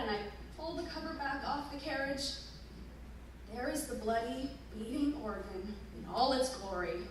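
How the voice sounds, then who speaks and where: A woman performing a dramatic first-person story reading live, with expressive, deliberate phrasing. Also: on the high side. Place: live stage reading in a museum hall. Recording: very echoey room, distant mic, noisy.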